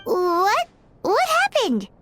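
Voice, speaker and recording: A woman voicing a tiny, excitable childlike fairy companion in a video game, squeaky and perky. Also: drawn-out words, background noise, full character voice. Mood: surprised. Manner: perky, refers to self in third person.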